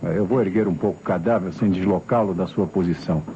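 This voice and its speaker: Deep, authoritative police commissioner. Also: male voice, background noise. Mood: businesslike. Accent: Brazilian. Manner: deliberate, authoritative, questioning. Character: brisk, probing police commissioner, a grown man. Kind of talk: old radio crime drama acting. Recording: muffled vintage radio recording, music behind.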